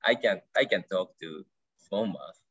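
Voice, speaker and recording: Excitable Japanese-accented man, slow broken phrases. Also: low voice, breathy edge, lo-fi sound. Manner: excitable, short broken phrases, often laughing. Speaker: guest angler. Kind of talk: casual interview. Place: home room. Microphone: video call.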